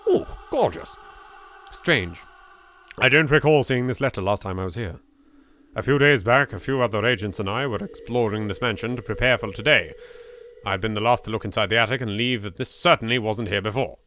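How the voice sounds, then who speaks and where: A man's voice: on the low side, fairly quick, fairly bright tone, a little hiss or hum. A British man doing a let's play, reading horror game text aloud with theatrical expression and dropping in his own sweary asides. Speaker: video game let's player.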